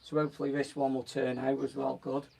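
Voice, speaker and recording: A man with a croaky voice casually explaining each step of a painting as he works. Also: medium pitch, a little fast. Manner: relaxed, talks while working, frequent "so" and "just gonna". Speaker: painting instructor on a live stream. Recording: voice from a distance from the mic, faint background noise.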